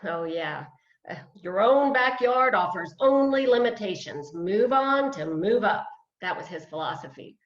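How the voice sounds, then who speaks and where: A woman's voice: mid-range voice, expressive, measured pace, close to an everyday voice. A woman playing a self-deprecating, hesitant retired woman of fifty-eight, a beginner dancer, in a play read over video call. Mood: wry, reflective. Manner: hesitant, wry, chuckling. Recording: roomy, dull video-call audio.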